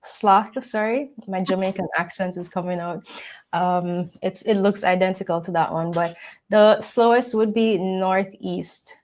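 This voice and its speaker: A woman's voice: medium pitch, fairly even intonation, measured pace, darkish tone. Woman co-host, friendly and playful, mid-range voice, realtor chat. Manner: friendly, playful, reads disclaimers carefully. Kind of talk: casual real estate chat.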